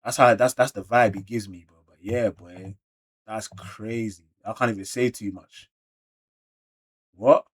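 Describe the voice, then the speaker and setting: A man with a London British accent doing a casual, slangy manga read-along reaction, moving between animated exclamations and muttered asides. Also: lowish voice, measured pace, husky. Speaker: reaction video creator.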